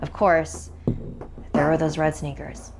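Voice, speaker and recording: A woman with a fairly low voice tells a horror story in the first person. Her delivery is dramatic and expressive, building suspense as she reads. Music plays clearly behind her. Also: unhurried. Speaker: narrator.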